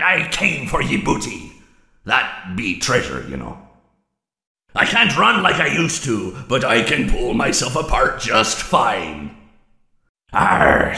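A boastful robot pirate fox from a game, growling in a rough, gravelly voice that swings widely in pitch. The sound is bright and carries a roomy echo. He speaks unhurriedly. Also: exaggerated voice. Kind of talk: game voice line.

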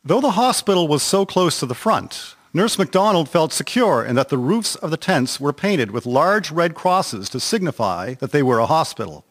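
A man narrating a historical radio drama from a script in a measured, formal reading. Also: a little high, sing-song.

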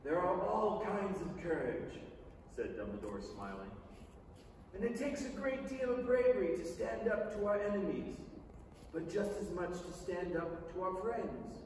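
A middle-aged man giving a dramatic staged reading of a children's novel, with emphatic delivery and long pauses. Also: sits high, very animated, darkish tone. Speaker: stage reader. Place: large theatre stage, indoors. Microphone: far camera in the audience. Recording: echoey theatre hall, distant mic, noisy.

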